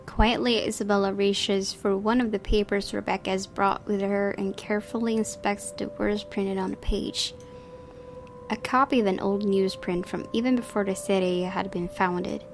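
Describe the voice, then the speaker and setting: A woman's voice: mid-range voice, fairly even intonation, normal speed, a little hiss or hum. A woman hosting a gaming video, reading visual novel narration aloud and commenting on it.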